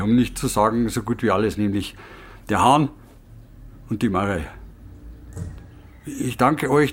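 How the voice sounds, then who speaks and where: A man's voice: medium pitch, slight background noise, lightly acted. An actor playing a solemn, self-important village man with a Bavarian-Austrian accent, speaking ponderously in a radio drama. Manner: ponderous, ceremonial, circumlocutory.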